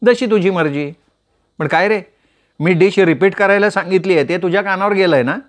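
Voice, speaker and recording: Male reader doing a high, playful young woman; chatty teaser in a storybook reading. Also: natural voice. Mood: teasing. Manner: teasing, quick, bantering. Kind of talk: storytelling with voiced characters.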